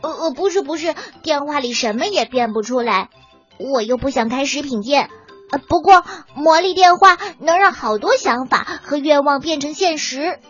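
Over children's music in a slightly dull recording comes an eager little bear cub, with a very high voice. The cub is kind, bumbling and excitable, talking as in a storybook. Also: female voice, normal speed. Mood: hesitant, reassuring. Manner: stammering, excitable, reassuring. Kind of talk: children's audio storybook acting. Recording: band-limited dull sound with children's music.

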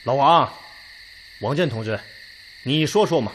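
An actor playing a measured, weighty Red Army commander who consults his officers in a Chinese radio drama. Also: mid-range voice, expressive, a little hiss or hum, natural voice. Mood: consultative.

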